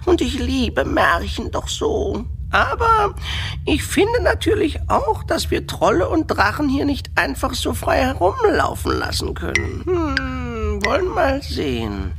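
An actress playing an eccentric, dreamy aunt, theatrical and gushing, in a children's radio play. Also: female voice, high voice, wildly swooping pitch, slow, a bit bright, slight background noise, exaggerated voice. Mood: wistful, pondering. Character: eccentric dreamy aunt who loves music and fairy tales. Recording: music behind the voice.

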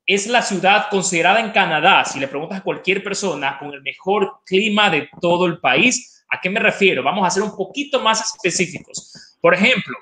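Man, Ecuadorian accent, high-pitched, enthusiastic college rep, roomy video-call echo. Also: bright, forceful tone. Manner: enthusiastic, explanatory, repeats words for emphasis. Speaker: college representative, webinar guest. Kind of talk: informal promotional interview talk. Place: home living room with open kitchen, video call.

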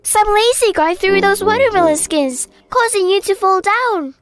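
Very high, clever little cartoon monkey. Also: lively intonation, moderate pace, crowd noise. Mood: sly. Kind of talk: children's cartoon story acting. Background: children's music.

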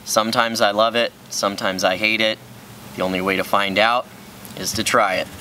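A man's voice: lowish voice, steady tone, normal speed, bright, forceful tone, slight background noise. A young man co-hosting a casual beer review on an outdoor brewery patio, giving frank, matter-of-fact opinions on each beer.

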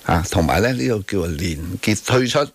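A man's voice: on the low side, animated, fairly quick, husky. A man with a Hong Kong Cantonese accent hosting radio talk, arguing emphatically about current affairs with rhetorical tag questions and bits of English. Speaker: radio talk show host. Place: radio studio.

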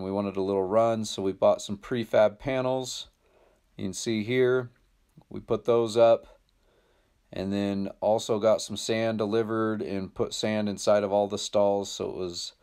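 A man's voice: on the low side, darkish tone, clean tone. An American man in his thirties talking casually to viewers in a laid-back, plain-spoken way, like a DIY vlogger. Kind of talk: casual vlog narration to viewers.

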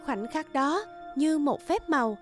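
A woman narrating a cartoon moral tale in a measured, earnest storybook manner. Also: sits high, animated, faint music behind.

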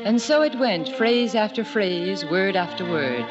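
A woman's voice: mid-range voice, expressive, measured pace. A woman narrating an old-time radio drama in a literary, dramatic storytelling manner. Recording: muffled old radio recording. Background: loud music.

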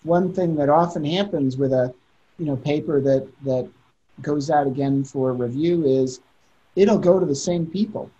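A man's voice: on the high side, moderate pace, warm tone. A middle-aged man, a journal editor, speaking candidly on a panel over a video call. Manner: thoughtful, candid, with occasional laughs. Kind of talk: panel discussion. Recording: dull lo-fi video call audio.

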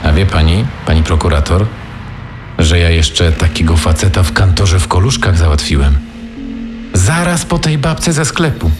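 An actor playing a cold middle-aged killer in a radio crime reenactment, speaking gruffly and bluntly. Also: male voice, sits low, expressive, normal speed. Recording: music playing underneath.

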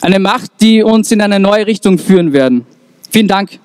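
A young man with a fairly high voice speaks earnestly at an outdoor rally, pausing on fillers. He holds a handheld mic. The sound is dry and close. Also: lively intonation, darkish tone, clear, resonant tone, no echo. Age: young adult. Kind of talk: public speech. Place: town square outdoors.

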